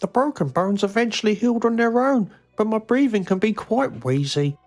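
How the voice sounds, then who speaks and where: A woman's voice: medium pitch, lively intonation, normal speed, a little mellow, soft background music. A woman voicing an odd, lonely grown son in a game, earnest and quaintly formal. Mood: earnest, wistful. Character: odd, lonely, long-confined middle-aged son.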